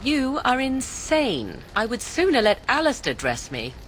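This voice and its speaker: A woman's voice: a little high, wildly swooping pitch, a little slow, slight background noise. An actress playing a haughty, sardonic young witch in a fantasy game, arch and disdainful, with theatrical old-fashioned phrasing. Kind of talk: fantasy video game voice acting.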